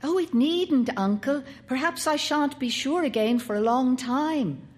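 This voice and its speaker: A woman's voice: high-pitched, expressive, unhurried, a little mellow, pitched above the performer's own voice. A woman voicing an anxious, intense young boy who stammers and pleads.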